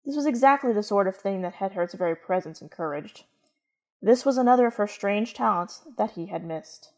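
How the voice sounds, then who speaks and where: A woman's voice: mid-range voice, lively intonation, a little fast, a little mellow. A woman reading scripted story narration in the manner of a radio play.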